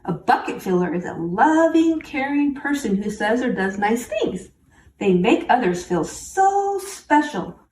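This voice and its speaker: A woman's voice: fairly high pitch, very animated, measured pace, a little mellow. A woman reading a picture book aloud to children, speaking simply and encouragingly and addressing young listeners directly. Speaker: children's story reader. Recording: roomy, distant mic, faint background noise.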